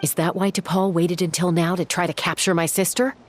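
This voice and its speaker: A woman's voice: fairly low pitch, level tone, fairly quick, a little hiss or hum, lightly acted. A woman voicing a determined young flier and warrior in a video game, blunt and impatient to act.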